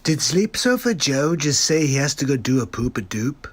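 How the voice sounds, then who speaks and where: A man's voice: medium pitch, sing-song, normal speed. A man hosting a live comedy stream, mocking the clips he plays with sarcastic, incredulous asides. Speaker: livestream comedy host. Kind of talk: mocking commentary between clips.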